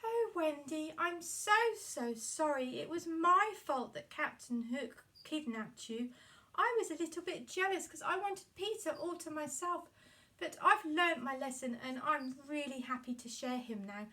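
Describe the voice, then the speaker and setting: A woman's voice: high voice, expressive, a little slow, pushed and bright, heavily acted. A woman voicing a small, jealous but repentant fairy, plaintive and apologetic, in a children's puppet show. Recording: distant microphone.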